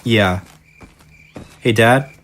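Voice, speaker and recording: A man's voice: lowish voice, extremely slow, fairly bright tone. A man playing a thoughtful seventeen-year-old undead son in an audio drama, earnest and inquisitive. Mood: questioning.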